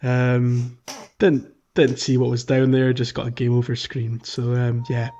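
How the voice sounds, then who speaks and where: A man co-hosting a podcast, chatting informally and a little haltingly about playing a video game. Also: mid-range voice, flat delivery, dull audio, background noise. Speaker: podcast co-host. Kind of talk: casual chat about a video game playthrough.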